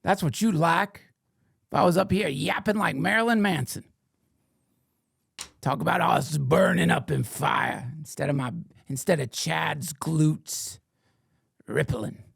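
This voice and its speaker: Middle-aged male host, gravelly, raised pitch, mock-indignant comic riffing, dry sound. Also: unhurried, pauses often, no echo. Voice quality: playfully mock-indignant, swings to whispers and shouts. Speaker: podcast host reading chat questions. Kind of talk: comedic livestream banter in a mock persona.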